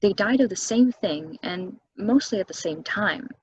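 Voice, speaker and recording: A woman at a stage play table reading, playing a calm, solitary young local who tells things in an unhurried, matter-of-fact way. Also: medium pitch, slightly rough, lo-fi sound. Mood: storytelling.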